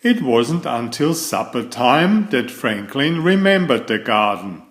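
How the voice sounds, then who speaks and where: A man reading a children's picture book aloud in a deliberate, expressive storytelling style. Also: medium pitch. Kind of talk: read-aloud storybook narration.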